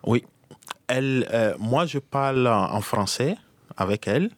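A man's voice: mid-range voice, lively intonation, unhurried, no echo. A young man from Burkina Faso being interviewed, speaking in a hesitant way with many restarts. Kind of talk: casual conversation.

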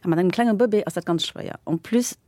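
A woman, a guest in a casual interview, telling stories with lots of fillers and lively laughter. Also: on the low side, quick pace, dry recording. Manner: animated, laughing while telling anecdotes, many fillers. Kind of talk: casual storytelling.

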